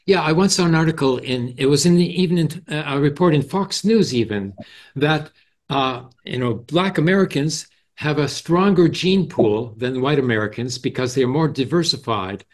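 Older man in halting casual discussion. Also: a little high, expressive. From Canada. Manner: halting, frequent "you know". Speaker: forum participant and moderator. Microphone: headset on video call.

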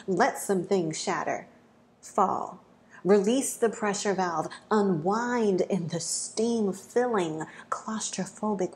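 A woman in her thirties performs a spoken word poem in a small room at home, speaking slowly and deliberately with long dramatic pauses. Her voice sits at a middle pitch and sometimes falls to soft, breathy near-whispers. She is recorded on a wired earphone mic. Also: slight background noise. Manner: slow, measured delivery with dramatic pauses.

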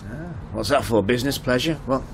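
An actor playing a disapproving, short-fused husband, curt and probing, in a naturalistic radio drama. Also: male voice, expressive, brisk, natural voice. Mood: guarded. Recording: noisy car interior, vehicle rumble. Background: moving vehicle noise.

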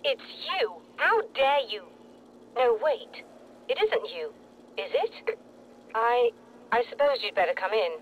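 A flustered suspicious woman, highish voice, tinny intercom, game scene. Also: big pitch swings. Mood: agitated, wary. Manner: halting, agitated. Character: rattled, suspicious woman answering over intercom. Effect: intercom filter. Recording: tinny intercom, faint noise.

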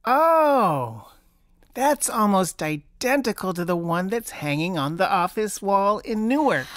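A woman acting in an audio drama as a gentle, eager friend, speaking in an earnest, tentative way. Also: medium pitch, very animated, measured pace, dry recording, clean studio sound. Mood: amazed.

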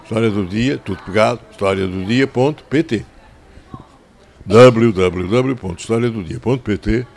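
An older man with a European Portuguese accent and a slightly hoarse voice, talking to schoolchildren as an author, conversational and didactic, repeating phrases for emphasis into a handheld microphone. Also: fairly low pitch, moderate pace, darkish tone. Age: 60s or older. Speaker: children's author. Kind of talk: talking and telling stories to schoolchildren. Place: covered outdoor tent in a town square.

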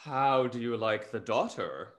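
A young man playing a snarky, gossip-loving gentleman in a period comedy, with a wry theatrical manner. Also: mid-range voice, lively intonation, unhurried, natural voice. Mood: gossipy. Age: young adult. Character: snarky, gossip-loving male gentleman, rake's best friend. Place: indoor room, video call with earphones.

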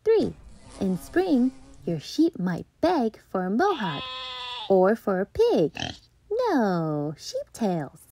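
A woman reading a children's picture book aloud as a storytime host, playful and deliberate, with dramatic emphasis. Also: mid-range voice, huge pitch swings, warm tone, slight background noise. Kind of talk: expressive children's read-aloud narration.

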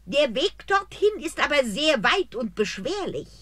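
An actress playing an old forest witch in a fairy-tale audio drama, with a lively, exclaiming delivery. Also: high voice, measured pace, dry recording, exaggerated voice. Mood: advising. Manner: exclaiming, animated. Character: old forest witch, gruff but helpful.

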